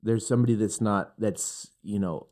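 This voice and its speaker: A man's voice: on the low side, moderate pace, warm tone. A man hosting a podcast, interviewing a guest and searching for his words as he asks. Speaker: podcast host.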